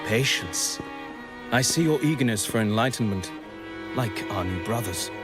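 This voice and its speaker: A man's voice: lowish voice, expressive, moderate pace, natural voice. A man playing a devout cult follower in a video game, preaching repentance in a solemn, pious manner. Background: prominent music.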